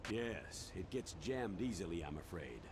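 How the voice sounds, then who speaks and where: Brief: senior military commander, video game briefing, fairly deep, firm and official. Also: male voice, sing-song, unhurried, background noise. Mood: businesslike. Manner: businesslike, commanding. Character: authoritative older male military commander briefing an agent.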